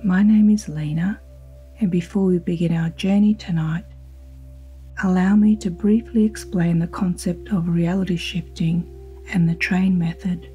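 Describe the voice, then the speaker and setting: A woman guiding a sleep hypnosis in a slow, soothing, soft-spoken manner with breathy phrase endings. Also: lowish voice, fairly even intonation, highs cut off. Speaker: hypnosis guide. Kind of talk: guided sleep hypnosis narration. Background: ambient music with singing bowl tones.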